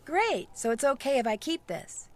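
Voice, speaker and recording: A woman's voice: high-pitched, big pitch swings, moderate pace, background noise. A woman acting an inquisitive young detective in a video game, direct and polite in her questioning. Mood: curious, polite. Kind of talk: scripted game dialogue.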